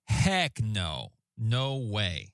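A man's voice: lowish voice, huge pitch swings, drawn-out words, creaky, fairly bright tone, no echo, studio quality. A man co-hosting a podcast in casual chat, speaking emphatically and often repeating himself. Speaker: podcast co-host.

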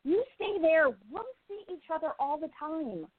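A woman's voice: very high-pitched, expressive, moderate pace, a little hiss or hum. A woman playing a spirited, independent young journalist in an amateur radio play, speaking earnestly and with feeling. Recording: tinny phone-like sound.

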